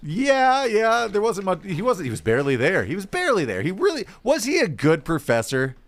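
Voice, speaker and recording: A man's voice: high voice, huge pitch swings, fairly quick. A man co-hosting a podcast, chatting casually and jokingly in a rambling, conversational way. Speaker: podcast co-host. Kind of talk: casual comic banter.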